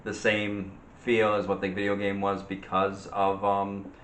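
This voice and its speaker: A man co-hosting a casual movie and TV debate, disagreeing in halting, hesitant phrases. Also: fairly low pitch, monotone, a bit bright. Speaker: co-host of a movie discussion show. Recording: echoey room with faint background noise.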